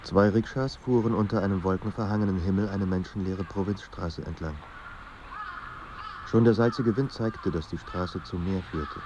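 An actor playing a somber, measured literary narrator in a German radio play. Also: male voice, low-pitched, close to an everyday voice. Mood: somber, reflective. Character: somber male literary narrator of a troubled writer's life. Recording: dull sound with faint background noise.

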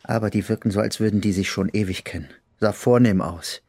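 An actor playing a thuggish, spiteful wounded Nazi tough in a German radio drama, speaking gruffly and contemptuously. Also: male voice, lowish voice, brisk, a little mellow. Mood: sullen, spiteful.

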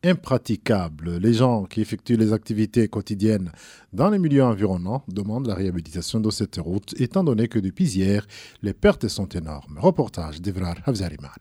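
A man anchors the midday radio news bulletin, passing from one story to the next in a measured, formal read. His voice is dark and mellow, and the studio sound is dry and close, with no room around it. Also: lowish voice, lively intonation, husky, no echo.

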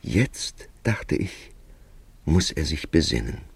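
An actor playing a reflective writer who narrates his own story in a measured, literary radio play style. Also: a bass voice, sing-song, vocal fry, breathy edge, no room sound, exaggerated voice. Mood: pensive.

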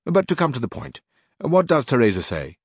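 A man's voice: medium pitch, very animated, slightly rough, dry recording, clean studio sound, voice pushed up. A man doing Don Quixote, pedantic old knight. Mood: lofty. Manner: measured, correcting and formal. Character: older gentleman knight, pedantic and lofty.

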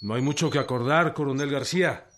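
A man's voice: medium pitch, a little fast, a bit bright, natural voice. A man with a Mexican accent playing a proud, plain-spoken, guarded revolutionary chief in a radio drama. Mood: firm, guarded.

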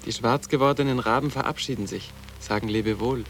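A male actor as a coolly defiant, bookish schoolboy in a radio play. He talks in clipped, precocious, lecturing phrases at a medium pitch, with music in the recording. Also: brisk, a bit bright, lightly acted. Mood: cool, mocking. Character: sarcastic, intellectual teenage schoolboy, coolly defiant. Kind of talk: literary radio drama dialogue.

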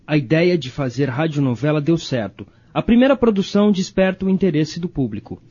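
Male Brazilian documentary narrator, high-pitched and soft-toned, slightly dull sound. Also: lively intonation, brisk, husky. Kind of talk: scripted radio documentary narration.

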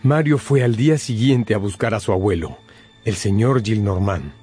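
A man's voice: on the low side, moderate pace, dark tone, breathy edge. A man narrating a radio drama in a scripted, dramatic storytelling style. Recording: prominent music under the voice.

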